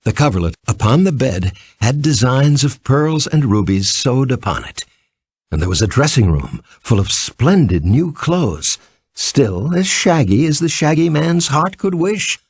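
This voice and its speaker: A male storybook narrator with a very animated pitch range. His voice is a little husky and is recorded dry and close. He reads scripted children's prose expressively and theatrically. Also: unhurried, no echo.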